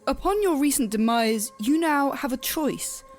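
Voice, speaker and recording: A woman's voice: high voice, animated, a little mellow, soft background music. Formal, composed female grim reaper, played by a woman. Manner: formal, measured, stiffly polite. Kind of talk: audio drama acting.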